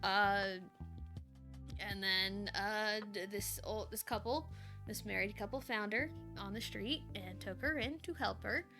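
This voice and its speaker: A woman with a very bright voice speaks at an unhurried pace on a casual livestream. She is informal and a bit giggly, moving between chat and story reading. Faint music plays behind her. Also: medium pitch. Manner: giggly, self-deprecating, informal. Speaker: streamer reading fan fiction aloud on a livestream.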